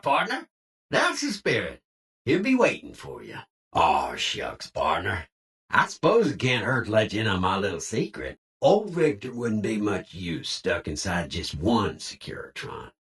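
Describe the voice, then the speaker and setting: A man's voice: mid-range voice, a bit bright, recorded from a distance. A folksy cowboy robot from a video game, with an American cowboy drawl that swoops wildly up and down. He is hearty and showy, full of Old West slang, and sounds a little off the mic. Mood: exuberant. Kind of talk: video game character lines.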